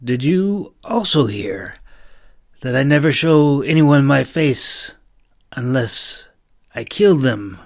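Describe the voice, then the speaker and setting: A man's voice: medium pitch, lively intonation, takes it slowly. A man voicing a reluctant, wry masked warrior in a fantasy audiobook, grudging and evasive. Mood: menacing.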